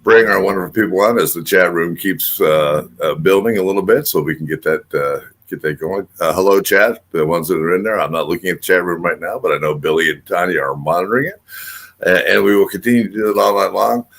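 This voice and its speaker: Deep-voiced older man hosting a show. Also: a little fast, creaky, fairly bright tone. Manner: rambling, lots of uh fillers and restarts. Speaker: host. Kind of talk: casual radio show hosting.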